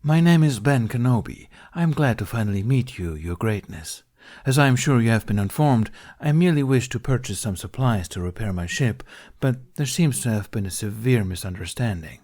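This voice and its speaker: A man voicing a calm, polite warrior-monk negotiator in an audiobook, with a measured, insistent manner. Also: mid-range voice, close to an everyday voice. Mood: polite, controlled. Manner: measured, formal, impassive.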